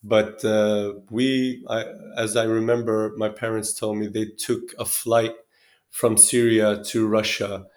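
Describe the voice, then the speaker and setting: A man in his thirties from Sweden speaking reflectively as an interview guest, often pausing and restarting as he searches for words. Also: lowish voice, flat delivery, a little mellow. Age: 30s.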